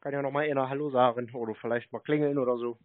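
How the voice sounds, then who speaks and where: A man's voice: medium pitch, steady tone, very fast, dry recording. A man making a teasing prank phone call, mocking and crude, tossing out cheeky pet names. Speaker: scambaiting prank caller and show host. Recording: dry, band-limited phone-call recording.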